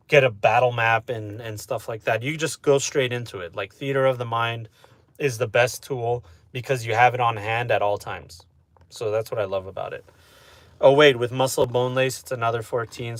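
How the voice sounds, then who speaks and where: A man's voice: medium pitch, no echo. Chatty guy streamer, dry close sound. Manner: relaxed, chatty, lots of "you know what I mean". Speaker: streamer answering chat. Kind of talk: casual live-stream chat.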